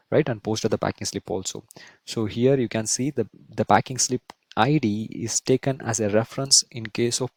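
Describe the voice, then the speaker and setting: Male tutorial presenter, lowish voice, talking through steps as he goes, rephrasing often, recorded dry and close. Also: darkish tone, no room sound. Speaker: software instructor. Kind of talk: screen-share tutorial, explaining step by step.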